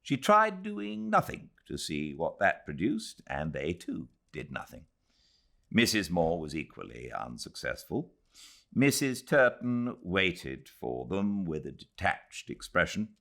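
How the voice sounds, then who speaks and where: A British man narrates literary fiction in a low voice. His pace is slow and measured, and his pitch moves very widely as he tells the story. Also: wildly swooping pitch, gravelly, a bit bright. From Britain. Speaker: audiobook narrator.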